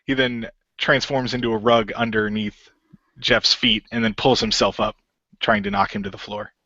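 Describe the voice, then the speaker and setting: A man's voice: on the low side, little pitch movement, normal speed, bright, forceful tone, no room sound, dull audio. A man giving improvised narration of his character's actions in an amateur radio play.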